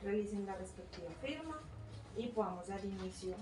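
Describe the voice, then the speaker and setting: Hesitant young moderator, echoey and far. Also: female voice, mid-range voice, expressive, normal speed. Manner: hesitant, many fillers and self-corrections. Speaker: psychology student moderating a focus group. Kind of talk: reading a consent form and moderating. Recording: echoey room, far mic, noisy.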